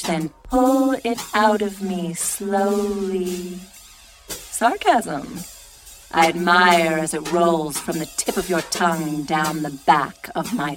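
A woman performing a seductive, brazen figure in a dramatic spoken-word monologue, slow, sultry and deliberate. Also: lowish voice. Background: music.

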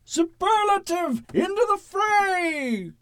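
A grandiose male superhero from a comic audiobook. The voice is pushed very high and forceful, with sweeping, declamatory delivery and total self-importance. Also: big pitch swings, slow pace, dry recording, heavily acted. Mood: battle cry. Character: bombastic male spandex-clad superhero, shrill and self-important.

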